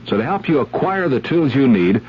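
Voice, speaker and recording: An American man giving a scripted 1970s radio commercial as a folksy pitchman who addresses the listener directly. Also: sing-song, normal speed. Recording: dull old radio recording, faint hum.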